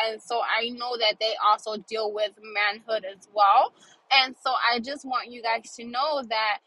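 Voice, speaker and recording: A woman's voice: fairly high pitch, bright, forceful tone, rough recording. A woman in her thirties hosting a casual monologue podcast, earnest and emphatic, repeating phrases for emphasis, sounding a little congested. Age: 30s. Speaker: podcast host.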